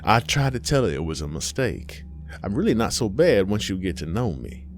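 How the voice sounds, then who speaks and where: A man voicing a sly, ancient female demon in a horror story, taunting and theatrical with drawn-out phrasing. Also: lowish voice, sing-song, soft background music.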